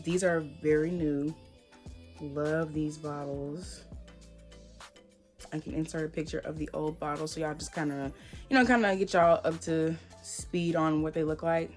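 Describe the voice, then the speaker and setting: A woman with a slightly hoarse voice, chatting casually and rambling as she reviews hair products. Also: low-pitched, darkish tone. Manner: chatty, rambling, lots of fillers. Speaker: hair vlogger.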